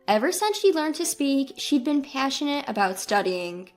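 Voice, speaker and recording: A woman's voice: high voice, very animated, normal speed, soft background music. A woman reading a scripted first-person family story as its narrator.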